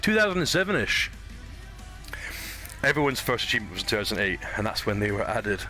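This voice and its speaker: A man's voice: fairly low pitch, sing-song, quick pace, pushed and bright, soft background music. A Scottish man streaming a game, chatting casually, swearing and laughing easily. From Scotland. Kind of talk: casual gaming stream chat.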